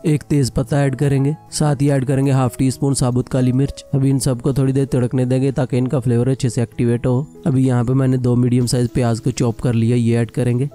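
A man narrating a cooking video voice-over, explaining each step calmly and plainly. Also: medium pitch. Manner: calm step-by-step instruction. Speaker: cooking channel host.

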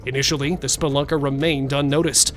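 A man reading a scripted countdown of strange sightings, with dramatic pauses and questions to the viewer. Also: medium pitch, flat delivery, faint music behind. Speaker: narrator. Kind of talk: scripted narration.

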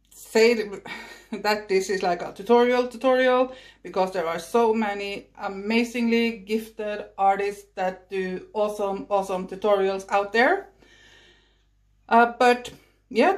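A woman with a Norwegian accent, a native Norwegian speaker, chatting casually through a watercolor painting demonstration while she paints, recorded on a phone, self-deprecating and laughing often. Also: medium pitch. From Norway. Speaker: watercolor artist. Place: indoors at a painting table.

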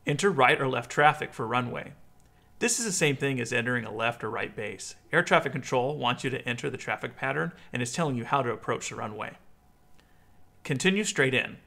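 An American man in his thirties giving a clear instructional explainer as a video host. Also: medium pitch, animated, brisk, bright, forceful tone.

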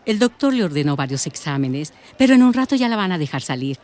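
An actress playing a matter-of-fact nurse with a Colombian accent in a radio drama. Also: female voice, on the low side, very animated, quick pace, darkish tone. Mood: informative. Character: matter-of-fact female health-centre nurse.

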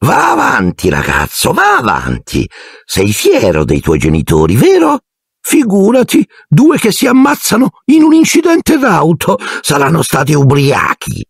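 A man's voice: huge pitch swings, measured pace, creaky, slightly rough, no room sound, studio quality, exaggerated voice. A man voicing a drunken, bullying middle-aged aunt who sneers and shrieks insults at her nephew in an audiobook. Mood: taunting. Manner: scornful, shrill and sneering.

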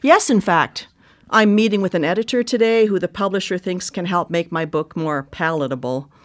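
A woman's voice: fairly low pitch, normal speed, natural voice. A woman in a live radio drama playing a chef and sister with a dry, blunt, teasing way of talking. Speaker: supporting character in a radio drama.

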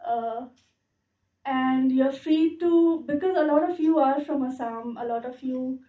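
A woman's voice: high voice, unhurried. A young woman with an Indian accent giving an informal, carefully phrased talk as a guest speaker in an auditorium. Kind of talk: informal public lecture. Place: lecture auditorium stage. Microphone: podium microphone, picked up from afar. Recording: roomy, dull, distant hall recording.

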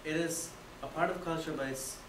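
A young man from India gives a casual class talk, full of fillers, in a flat, even voice. He stands well away from the camera in a roomy, echoing classroom, with a steady hiss under him. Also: on the high side, moderate pace. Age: young adult. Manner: casual, filler-heavy, loose phrasing. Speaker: student presenter. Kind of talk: class presentation. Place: dim classroom with projector. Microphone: far camera. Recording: echoey room from far off with noise.

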